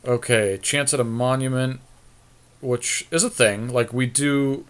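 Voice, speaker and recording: A man's voice: mid-range voice, fairly even intonation, unhurried, clean tone. A man talking casually as a podcast co-host, thinking aloud as he makes choices in a card game. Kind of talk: casual chat while drafting cards in a game.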